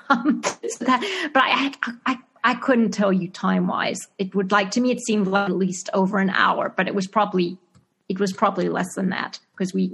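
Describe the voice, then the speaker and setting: A woman's voice: medium pitch, fairly bright tone. A woman with a Zimbabwean accent, an actress and writer answering interview questions casually, with lots of fillers, restarts and laughter. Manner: hesitant, many fillers and restarts, laughs often. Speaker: actress and screenwriter being interviewed.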